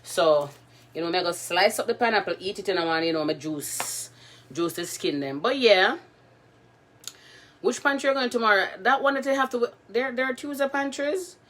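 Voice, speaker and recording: A woman's voice: mid-range voice, lively intonation, moderate pace, a bit bright. A woman hosting a casual cooking livestream from her home kitchen, chatting playfully with her viewers. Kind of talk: casual live cooking chat.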